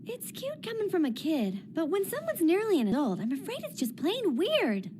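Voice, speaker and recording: A woman's voice: extremely high pitch, huge pitch swings, moderate pace, background noise, heavily acted. A woman playing a bossy, playful guardian in an anime dub, teasing and theatrical. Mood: scolding, teasing. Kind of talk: anime English dub acting.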